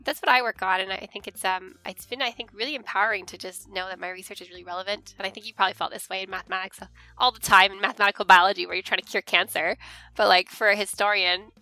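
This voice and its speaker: A woman's voice: medium pitch, steady tone, fast talker, pushed and bright, no echo, low-quality recording. A young Canadian woman with a Canadian accent, chatting casually and earnestly about her academic research. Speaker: history researcher, co-host.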